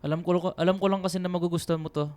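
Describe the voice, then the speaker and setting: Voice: mid-range voice, steady tone, racing, a little mellow, clean tone, soft background music, lightly acted. An actor playing a kind, casually teasing teenage boy in a radio drama.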